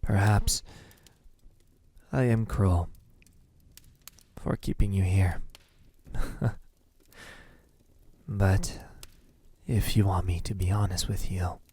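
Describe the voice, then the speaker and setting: A man playing a lovesick, possessive, centuries-old vampire in an ASMR roleplay, brooding and wounded, in a husky, breathy voice that often sinks to a whisper, with halting pauses. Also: low voice, fairly even intonation, warm tone, exaggerated voice. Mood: cold, bitter. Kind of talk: ASMR roleplay, slow dramatic monologue.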